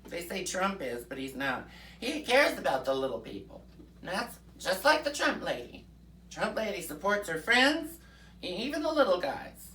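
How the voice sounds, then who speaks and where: A woman playing a brash, folksy right-wing pundit persona in a comic rant, fiery and preachy with drawn-out "mm-hmm" asides. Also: medium pitch, a bit bright, reverberant, recorded from a distance. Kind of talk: comic political rant in character.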